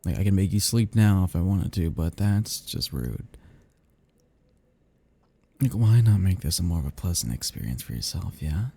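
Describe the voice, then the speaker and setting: A man playing an ancient, flirtatious, possessive vampire in an intimate ASMR roleplay, speaking to the listener softly and huskily in a halting, teasing, casually menacing way. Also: low-pitched, big pitch swings, full character voice. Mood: casually threatening. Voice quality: soft, husky, gravelly.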